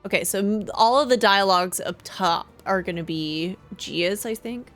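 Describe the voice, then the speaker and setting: Lady game streamer w a mid-pitched voice, chatting casually n reading stuff out, bit of music underneath. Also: normal speed, a bit bright. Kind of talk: casual livestream commentary, reading game text aloud. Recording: faint music behind the voice.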